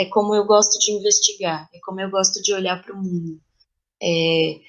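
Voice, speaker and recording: Woman, Brazilian Portuguese accent, mid-pitched voice, reflective interview guest, thoughtful, searches for words.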